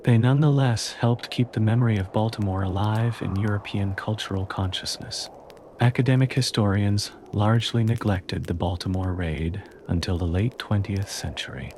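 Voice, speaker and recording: A man reading a calm, scripted history narration meant for sleep, in a slow and measured way. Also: on the low side, slight background noise.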